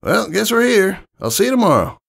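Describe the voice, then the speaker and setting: Guy doing a brash young girl pegasus, cocky n friendly, voice pitched way up, storybook reading. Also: sing-song, brisk, vocal fry, a bit bright, breathy edge, full character voice. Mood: friendly, casual. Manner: cocky, friendly, casual slangy talk. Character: brash, confident young female pegasus pony, playful mentor. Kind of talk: character voice in fan fiction reading.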